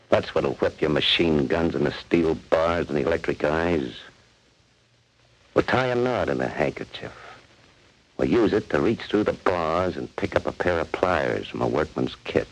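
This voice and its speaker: Very deep-voiced tough convict. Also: male voice, animated, measured pace, breathy edge, heavily acted. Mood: scheming, confident. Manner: slangy, ungrammatical tough-guy talk. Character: tough, bossy male convict plotting prison break. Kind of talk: 1940s radio acting. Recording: noisy old 1940s radio recording.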